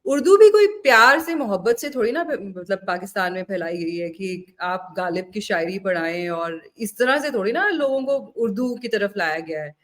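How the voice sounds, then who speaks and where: A woman's voice: medium pitch, lively intonation, normal speed, hardly any pauses. An Indian woman hosting a political interview, speaking conversationally and mixing in English words. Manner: mixes Hindi-Urdu with English words. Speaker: interview host.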